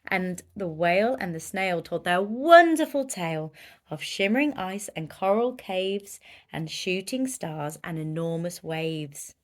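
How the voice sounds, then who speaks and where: Female children's story reader: unhurried delivery, very animated pitch, emphatic and dramatic. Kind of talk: expressive children's picture book read-aloud.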